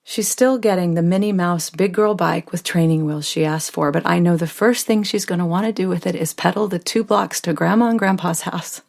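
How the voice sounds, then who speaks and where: An American woman playing a stressed, devoted mother of a small daughter in a first-person audio drama, speaking in a confiding, expressive way that moves between tenderness and exasperation. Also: lowish voice, a little fast, vocal fry, hardly any pauses. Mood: wistful.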